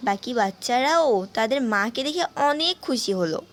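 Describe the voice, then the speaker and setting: High-pitched woman narrating a cartoon story. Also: expressive, normal speed. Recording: noticeable background noise.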